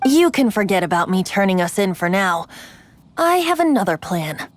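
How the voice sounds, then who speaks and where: Woman voicing a lilting, animated boyish bard. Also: medium pitch, normal speed, close to an everyday voice. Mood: wistful, concerned. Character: youthful boy bard, breezy, playful, wistful, secretive. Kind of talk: anime-style video game dialogue.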